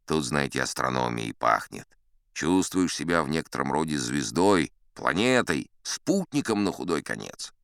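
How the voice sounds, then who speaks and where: A man's voice: a bass voice, sing-song, normal speed, gravelly, fairly bright tone, clean tone, no room sound, clean studio sound, full character voice. An actor playing a boastful old sea captain who spins yarns in a pompous, chatty, theatrical storytelling manner, savouring his words. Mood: grandly rhapsodic. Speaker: audiobook actor narrating in character. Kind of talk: theatrical storytelling in an audio play.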